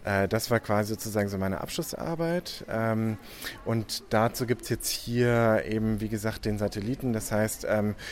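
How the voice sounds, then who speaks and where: A man's voice: lowish voice, slight background noise. A young man from Hamburg, an artist, answering interview questions hesitantly with many filler sounds. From Hamburg, Germany.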